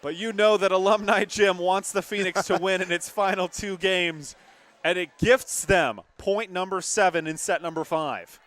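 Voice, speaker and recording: A man's voice: high-pitched, moderate pace, a bit bright. A young man calling live volleyball play-by-play from a gym, with emphatic, dramatic calls. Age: young adult. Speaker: play-by-play sportscaster. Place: courtside in a gymnasium.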